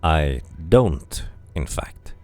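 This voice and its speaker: A man voicing a scarred amnesiac immortal hero who asks calm, plain questions. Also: very deep voice, drawn-out words, no room sound, soft background music, voice pushed down, exaggerated voice. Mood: curious.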